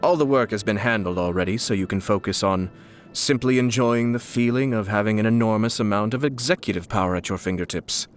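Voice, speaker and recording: A man voicing a suave, scheming young nobleman and clan head from a video game, with polished, formal, measured speech and a slyly amused, teasing politeness. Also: on the low side, lightly acted. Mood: smug, courteous. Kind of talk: video game character voice lines. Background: music.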